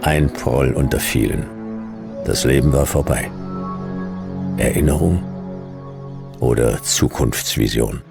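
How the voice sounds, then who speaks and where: Gravelly, very deep hardboiled detective. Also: male voice, slow pace, long pauses, music in the background, full character voice. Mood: bleak, weary. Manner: laconic, dry, clipped. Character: world-weary fifty-year-old private detective, hardboiled and sardonic. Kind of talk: first-person noir radio drama narration.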